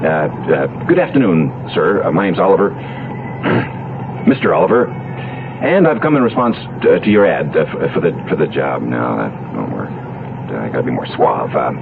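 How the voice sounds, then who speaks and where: An actor playing a nervous, hapless ex-con husband in a radio comedy drama, stammering and easily exasperated. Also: male voice, rumbling low, sing-song, normal speed, vocal fry, full character voice. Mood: nervous rehearsing. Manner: stammering, hesitant, flustered. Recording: noisy, tinny old radio broadcast.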